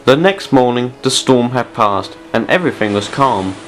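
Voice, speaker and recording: A man's voice: mid-range voice, lively intonation, moderate pace, fairly bright tone, a little hiss or hum. A man narrating a scripted adventure story for an amateur audio drama.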